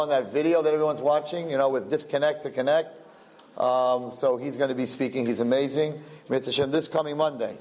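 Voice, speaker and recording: A man's voice: on the high side, darkish tone. A man with a Brooklyn accent hosting a live-streamed event, rambling and joking about himself as he makes announcements. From Brooklyn, USA. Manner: chatty, rambling, self-joking. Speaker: event host.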